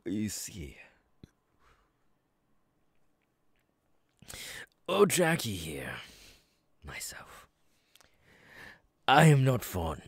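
A man voicing a creepy, gleeful monster circus clown in an ASMR roleplay, raspy and breathy, theatrical, lurching between whispers and loud bursts. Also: on the low side, dry recording, heavily acted. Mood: sinister contempt.

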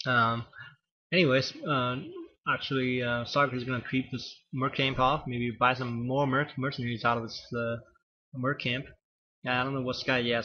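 A man's voice: medium pitch, breathy edge, lo-fi sound. A man giving solo play-by-play commentary on a strategy game, losing his voice and often correcting himself with fillers. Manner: rambling, self-correcting, frequent fillers.

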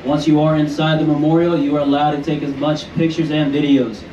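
A man's voice: fairly high pitch, little pitch movement, fairly quick, dark tone, highs cut off, slight background noise. Distant man announcing rules to a boat crowd. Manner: polite, rule-stating address to a group. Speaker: navy boat crew giving visitor rules. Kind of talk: public announcement to visitors. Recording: distant, echoey, with ambience.

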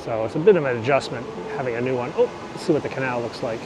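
A man's voice: medium pitch, rapid-fire. A man casually narrating a bike ride through the city, rambling and correcting himself as he goes, filmed outdoors on an action camera. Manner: chatty, rambling, self-correcting. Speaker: vlogger. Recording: outdoor street and traffic noise. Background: traffic and city ambience.

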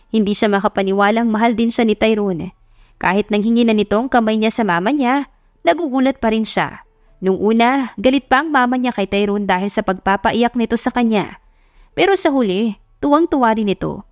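A woman's voice: a little high, fairly quick, dry recording, clean studio sound. A woman with a Filipino accent narrating a romance audiobook in a scripted, dramatic storytelling style. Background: music.

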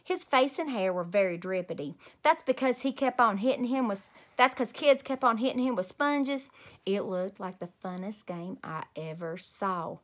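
A woman's voice: mid-range voice, normal speed, a bit bright. A woman in her thirties reading a storybook aloud expressively as a host for young children. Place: small home room indoors.

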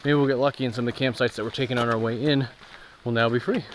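A man in his thirties talks casually to his camera as he narrates an outdoor trip. His pitch is average. The action-camera recording carries light ambient noise from the outdoors. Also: steady tone, fast talker. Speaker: vlogger, hike narrator. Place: outdoors in woods, on trails and riverbank. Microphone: action camera at arm's length.

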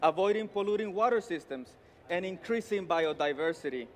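A man in his thirties from Honduras with a Honduran Spanish accent gives a formal, deliberate, rehearsed competition presentation as a barista. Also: high-pitched, animated. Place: large indoor competition arena with empty stands. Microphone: headset. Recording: echoey hall with clear background noise. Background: venue ambience and noise.

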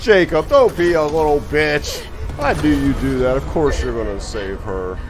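A man's voice: fairly high pitch, expressive, moderate pace, faint music behind. A man giving crude, taunting, foul-mouthed reaction commentary over a video game. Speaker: let's play commentator.

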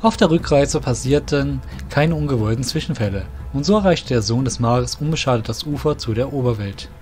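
A man's voice: medium pitch, brisk, a little mellow, soft background music. A man narrating a scripted fantasy audio drama in a literary storytelling manner.

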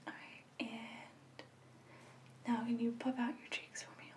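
A woman in her twenties whispering softly and reassuringly in an ASMR medical roleplay, as an examiner talking to a patient. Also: fairly high pitch, monotone, reverberant, far from the mic. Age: 20s. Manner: soft, slow, soothing, reassuring. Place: small plain indoor room.

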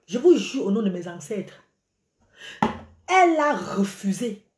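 A woman's voice: mid-range voice, takes it slowly, slight background noise. Woman, middle-aged, exclaiming, phone held at arm's length, echoey room. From Cameroon. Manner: animated and exclaiming, gesturing a lot. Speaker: live-stream vlogger. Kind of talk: live video rant to followers. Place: small indoor room by a curtain.

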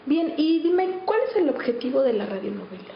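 A woman's voice: sits high, big pitch swings, fairly quick, creaky. A woman with a Mexican accent presenting and asking questions as an interviewer. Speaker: interviewer and reporter. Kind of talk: interview questions and scripted introductions. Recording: echoey, muffled, faint noise.

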